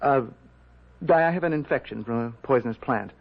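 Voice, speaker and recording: A man acting in a 1970s radio drama as a nervous, evasive young fiancé, husky and hesitant, often on the defensive. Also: medium pitch, a little fast. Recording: dull vintage radio recording.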